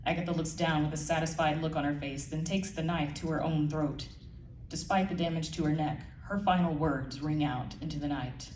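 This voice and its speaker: A woman's voice: low-pitched, darkish tone. A woman giving a live scripted narration of her audio drama, heard in a roomy space away from the mic. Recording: echoey room, distant mic, faint noise.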